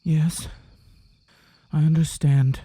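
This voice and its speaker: An actor playing a young, dutiful but uneasy Puritan sheriff in an audio drama, with a hesitant, deferential manner. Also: male voice, a little high, flat delivery, slow pace, warm tone. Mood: anxious, pleading. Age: young adult.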